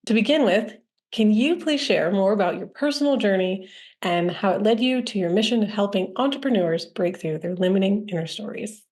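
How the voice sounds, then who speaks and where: A woman hosting a podcast, reading her show opening and asking questions, with soft encouraging backchannel. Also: mid-range voice, expressive, a little fast. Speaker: podcast host. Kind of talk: scripted podcast intro and interview questions.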